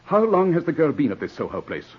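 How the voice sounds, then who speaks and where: A man's voice: a little high, big pitch swings, fast talker, breathy edge. An actor in a 1940s radio drama playing a stern, ambitious barrister, a cold, self-serving man with a clipped, commanding delivery. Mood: cold, probing. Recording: muffled vintage 1940s radio recording.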